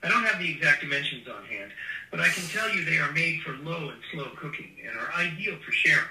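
An AI male voice handles a polite customer service call. It is very bright and a little high, coming out of a phone speaker with clear room echo. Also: expressive, fairly quick, slight background noise. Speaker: AI phone support agent. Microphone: phone loudspeaker picked up across a short gap. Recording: roomy, distant phone speaker playback.

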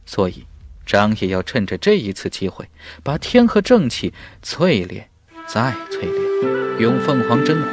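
A man narrating an audiobook in a dramatic, emphatic storytelling style with measured phrasing. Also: mid-range voice, big pitch swings, husky, soft background music.

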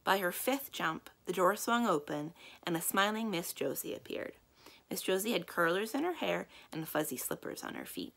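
A young woman reading a children's book aloud as a library storyteller, with measured, expressive narration. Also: on the low side, creaky. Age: young adult.

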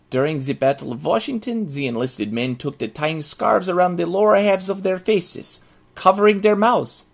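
An earnest military man reads out a survival handbook, as a male actor plays him. His voice is husky and creaky, set a little high. He speaks formally and with measured care, instructing as in an audio drama. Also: heavily acted. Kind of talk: scripted in-character audio drama narration.

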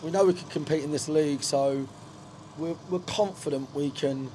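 A man's voice: a little high, moderate pace, warm tone, slight background noise. A middle-aged man, a football manager, talking frankly in a post-match interview in a stadium dugout, with lots of fillers and restarts. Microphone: handheld reporter mic.

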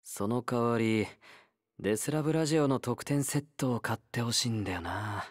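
A man's voice: mid-range voice, normal speed, no room sound, studio quality, natural voice. A male actor playing a laid-back young convict fighter in a game, blunt, teasing and nonchalant, with a drawl. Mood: casual, wry. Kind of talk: Japanese game character voice lines.

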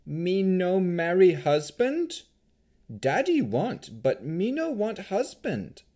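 A man voicing a childlike, plaintive female golem who talks slowly in simple broken baby talk. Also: high voice, sing-song, mellow, pitched above the performer's own voice, heavily acted. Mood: hopeful, unsure.

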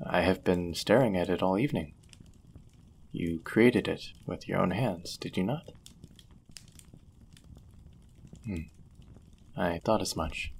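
Low, husky, courteous medieval innkeeper played by a man. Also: heavily acted. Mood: warm, admiring. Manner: formal, measured, old-fashioned diction. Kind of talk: roleplay audio drama acting.

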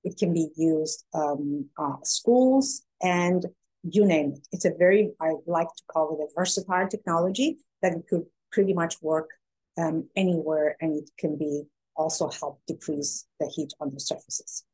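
A woman's voice: low voice, moderate pace, darkish tone, slightly rough, low-quality recording. A woman in her forties from Iran, a guest speaker explaining her business on a video call, earnest with many fillers. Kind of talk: presentation and interview answers. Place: home room.

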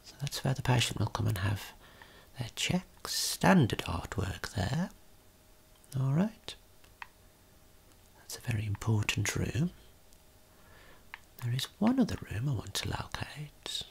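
A tour guide giving a gentle, unhurried ASMR tour in a breathy whisper, explaining things as they go. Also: medium pitch, big pitch swings.

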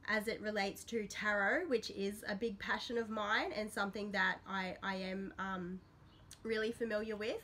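A woman in her thirties talks straight to a close camera mic in a small bedroom. Her voice is bright but a touch croaky from being a little sick. She rambles in a chatty way, full of ums and self-deprecating asides, over a faint hiss. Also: medium pitch. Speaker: substitute host of a witchcraft vlog. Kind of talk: casual to-camera vlog talk. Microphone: built-in camera mic at close range.

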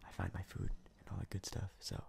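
A man giving hushed, casual ASMR game commentary in a breathy, gravelly whisper, close to the mic. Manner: hushed, casual, unhurried rambling. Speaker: gaming video host.

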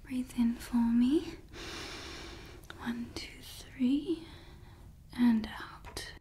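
A woman playing a fussy, playful female evil scientist in an ASMR roleplay, whispering softly and close to the microphone. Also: on the high side, drawn-out words, a little hiss or hum. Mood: soothing. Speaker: ASMR creator.

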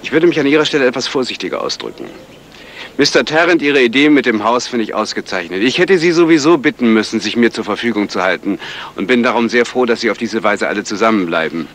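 A man's voice: medium pitch, expressive, fast talker, fairly bright tone, lightly acted. A man playing a stern, self-important police sergeant in a 1970s radio crime drama. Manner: formal, pompous. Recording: noisy 1970s radio play recording.

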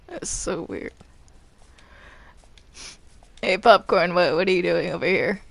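A woman's voice: fairly low pitch, moderate pace, creaky, fairly bright tone, dry recording. A woman in casual improvised gameplay chat, hesitant and stammering, often repeating her words. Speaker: gamer in a group roleplay.

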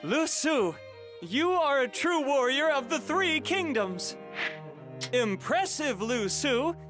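A man's voice: sits high, sing-song, takes it slowly, exaggerated voice. A man voicing a noble, cultured, proud young warrior-strategist in a video game, with formal and earnest delivery. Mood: proud praise. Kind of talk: theatrical video game dialogue. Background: music.

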